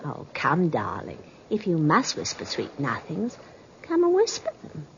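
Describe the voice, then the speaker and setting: A woman's voice: low voice, wildly swooping pitch, a little slow, vocal fry, full character voice. A teasing, coquettish young mistress in an old radio play. Her delivery swoops wildly up and down, playful and sure of herself. The recording is muffled and faintly hissy. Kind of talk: 1950s radio drama acting.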